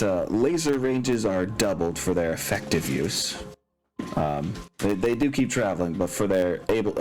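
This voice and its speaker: Male game master, low-pitched, casual and halting as he reasons through tabletop rules over soft music.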